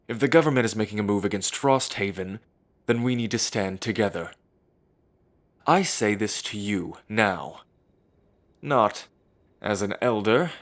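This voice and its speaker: A man voicing a commanding male werewolf elder and alpha who talks in a steady, authoritative way. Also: fairly low pitch, lightly acted. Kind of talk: amateur audio drama acting.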